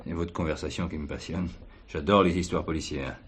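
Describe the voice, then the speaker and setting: An actor playing an affable, inquisitive city tourist in a stage play, casual and polite. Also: male voice, extremely low pitch, steady tone, a little fast, slightly rough, full character voice. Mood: curious. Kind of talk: French stage play dialogue.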